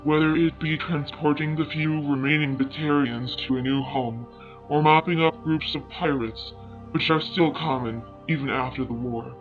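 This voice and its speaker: Phone-like sound, music behind, man acting as formal alien chronicler, measured, hopeful, declarative, sci-fi audio fiction narration. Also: fairly high pitch, natural voice.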